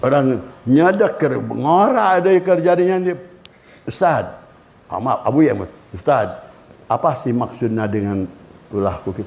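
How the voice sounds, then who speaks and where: An older man with a Sundanese accent giving a religious lecture to students, lively and switching between languages as he acts out anecdotes. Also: mid-range voice, measured pace, gravelly. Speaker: Islamic cleric.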